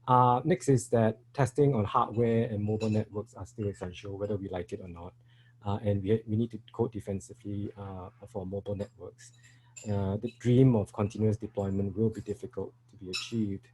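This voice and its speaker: A man's voice: on the low side, steady tone, fairly quick, a little mellow, slight background noise, low-quality recording. A middle-aged man from Singapore with a Singaporean English accent, giving a talk at a developer meetup, hesitant with many fillers and restarts. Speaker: meetup speaker. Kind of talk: conference talk.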